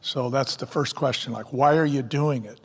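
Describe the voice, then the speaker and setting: A man's voice: mid-range voice, normal speed, slightly rough. Older man telling folksy stories in a reverberant hall. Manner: folksy, animated storytelling with acted-out quotes. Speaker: wine company co-founder. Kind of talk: fireside chat interview answers.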